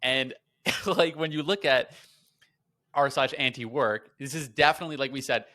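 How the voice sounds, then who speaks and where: A man co-hosting a casual podcast, chatty and full of fillers, often slipping into quick imitations of people. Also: mid-range voice, pushed and bright. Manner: chatty, lots of fillers, laughs and mimics people. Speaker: podcast co-host.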